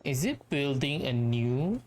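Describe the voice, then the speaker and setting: A woman gives casual gameplay commentary in a low, slow voice. Her intonation moves around a lot. Game music plays behind her. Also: sing-song, a little mellow, a little hiss or hum. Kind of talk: casual let's-play reactions. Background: video game music and sounds.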